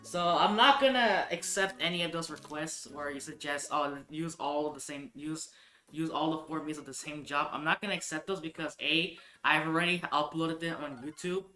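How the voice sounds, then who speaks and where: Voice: medium pitch, normal speed, sharp tone, reverberant, soft background music. A live streamer chatting casually while gaming, thinking aloud and restarting sentences often.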